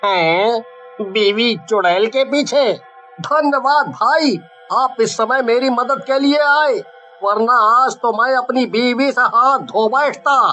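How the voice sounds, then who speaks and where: A man acts out a worried, bewildered husband in an animated horror tale. His voice is high and sharply bright, delivered slowly with anxious questions and exclamations, over light background music. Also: heavily acted, low-quality recording. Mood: relieved, shaken. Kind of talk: dramatized Hindi animated horror-story acting.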